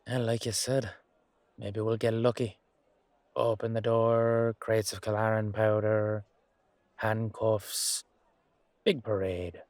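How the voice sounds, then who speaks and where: A weary, humble knight, played by a man in a fantasy audio drama. He speaks in a low, unhurried voice with wry, casual hesitation. The recording is close and dry. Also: little pitch movement, a little mellow, no echo. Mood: wry, hopeful. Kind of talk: amateur fantasy audio roleplay acting.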